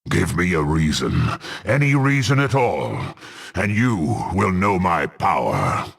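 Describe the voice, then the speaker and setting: An actor playing a brutal, power-hungry half-orc warrior in a fantasy game, gruff and haughty, with archaic formal phrasing. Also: male voice, sits low, big pitch swings, slow, fairly bright tone, exaggerated voice. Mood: menacing contempt. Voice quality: gruff, gravelly. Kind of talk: dramatic fantasy game voice acting.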